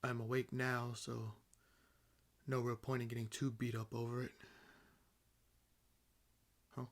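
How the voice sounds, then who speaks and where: Man acting as centuries-old vampire, medium pitch, slow delivery, wry, condescending, theatrical pauses, audio drama. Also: flat delivery, natural voice. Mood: wry, resigned. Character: ancient awakened male vampire, nonchalant, condescendingly amused. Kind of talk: roleplay audio acting, addressing the listener.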